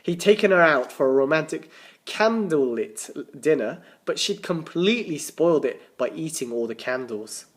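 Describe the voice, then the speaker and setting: Animated man reading a story aloud. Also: fairly high pitch, moderate pace. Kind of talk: expressive children's storybook narration.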